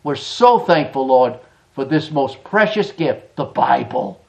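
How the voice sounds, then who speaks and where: A middle-aged man preaching a sermon in a church, emphatic, with quick chuckling asides. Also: medium pitch, animated, gravelly, darkish tone. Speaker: pastor. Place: church sanctuary.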